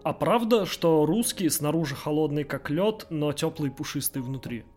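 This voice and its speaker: A man's voice: fairly high pitch, moderate pace, darkish tone, soft background music. A man working as an interviewer, putting questions in a conversational way.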